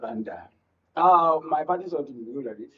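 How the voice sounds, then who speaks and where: Husky Nigerian man, distant and muffled. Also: fairly high pitch, expressive, normal speed. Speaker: panel guest. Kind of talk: halting casual political commentary. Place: radio and TV studio.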